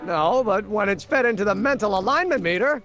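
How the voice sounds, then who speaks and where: An earnest young inventor from a video game, in a high voice that swings widely in pitch. He explains every detail precisely and eagerly, with music playing behind him. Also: expressive, a little fast, fairly bright tone. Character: eager, earnest young male amateur scientist. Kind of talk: video game character acting.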